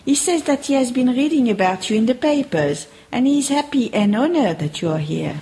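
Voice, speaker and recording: A woman's voice: sits high, expressive, a little fast, mellow, husky, full character voice. A woman playing a polite, earnest French fortune teller in an amateur radio play, formal in manner and with a French accent. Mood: courteous.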